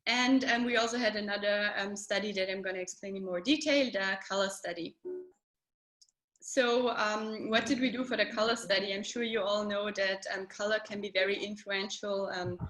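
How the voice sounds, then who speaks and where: A woman explains her work as a space architect over a video call. She speaks clearly and patiently, with many ums. Her voice sits in a roomy, echoing space. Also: mid-range voice, steady tone, moderate pace, a bit bright. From Austria. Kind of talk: webinar presentation. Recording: roomy echo.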